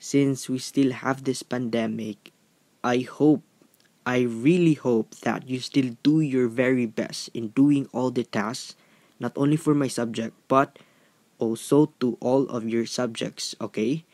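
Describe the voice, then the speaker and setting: A man acts as a courteous Filipino-accented teacher addressing online students. His delivery is formal and earnest, at a middling pitch and with a very dry sound, in an amateur radio play. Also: fairly even intonation, takes it slowly, darkish tone, no echo. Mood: earnest, instructive. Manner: formal, instructive, checking with "okay?".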